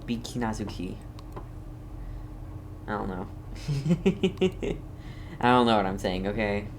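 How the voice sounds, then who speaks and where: A man's voice: fairly low pitch, big pitch swings, slow pace, slight background noise. A man giving casual gameplay commentary as a streamer, in an offhand, playfully repetitive mutter. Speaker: game streamer.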